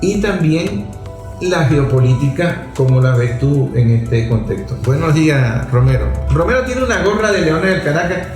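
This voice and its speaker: Venezuelan male radio host, emphatic and teasing, fairly high voice; echoey studio, faint music behind. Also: lively intonation, moderate pace, darkish tone. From Venezuela, Zulia. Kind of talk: radio talk and interview.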